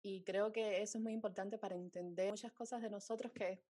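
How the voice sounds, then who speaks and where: A woman's voice: medium pitch, fairly even intonation, fairly quick, mellow. A woman interviewing someone in a casual, conversational style.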